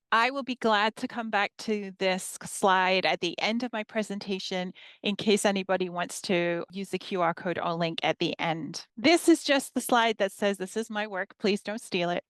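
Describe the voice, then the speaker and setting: A woman in her fifties from northern England, a history professor giving a measured workshop presentation to educators and reading her slides aloud. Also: medium pitch, smooth, no echo.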